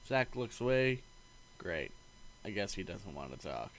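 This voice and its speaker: A man reading a visual novel aloud in an expressive, playful narrating style. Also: fairly low pitch, a little slow, a little hiss or hum.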